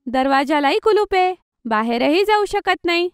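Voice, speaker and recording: A woman voicing a sensible, expressive young girl in a children's cartoon. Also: extremely high pitch, normal speed, no room sound, studio quality. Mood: bored, cheerful. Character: young girl Chinki, sensible older-sister type, cartoon.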